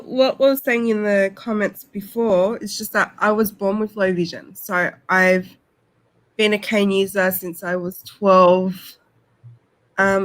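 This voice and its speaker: A woman with an Australian accent, chatting casually as a panel guest and telling her own story. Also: medium pitch.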